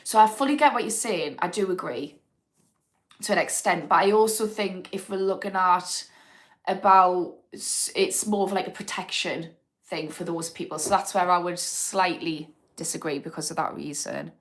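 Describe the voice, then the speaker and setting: A woman's voice: on the low side, normal speed. A woman, hosting a casual livestream and talking frankly about addiction recovery as she answers viewers' comments. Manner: chatty and frank, thinking aloud with fillers. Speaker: host of an addiction recovery channel.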